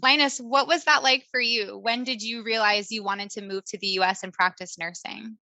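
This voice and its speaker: A woman's voice: on the high side, normal speed, bright, forceful tone, no room sound. A woman hosting an interview with nurses in a cheerful, enthusiastic way. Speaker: host, nurse recruiter.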